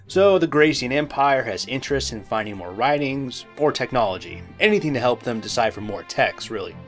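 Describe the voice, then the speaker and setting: A man's voice: medium pitch, lightly acted. A man playing a level-headed crewman in a science fiction audio drama, speaking in a matter-of-fact, conversational way. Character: level-headed male spaceship crewman, explainer of plans.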